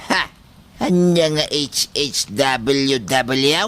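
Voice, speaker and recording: An actor playing a hot-headed old father in a comedy drama, scolding and firing sharp questions. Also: mid-range voice, drawn-out words, clear, resonant tone. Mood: puzzled questioning.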